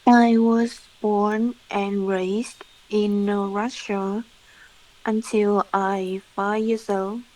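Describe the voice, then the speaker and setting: A woman's voice: mid-range voice, little pitch movement, extremely slow, darkish tone, rough recording. A woman, an English student answering carefully and hesitantly. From Vietnam.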